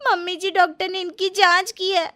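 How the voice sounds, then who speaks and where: A woman voicing a panicky young wife in a cartoon, shrill and dramatic. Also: level tone, normal speed, sharp tone, faint music behind. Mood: alarmed.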